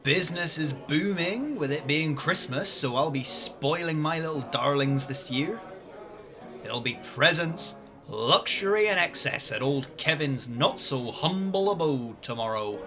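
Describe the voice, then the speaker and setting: A man's voice: a little high, lively intonation, unhurried, over music. A man acting a chatty, boastful pub landlord of old times in a comic radio play, with folksy rustic speech.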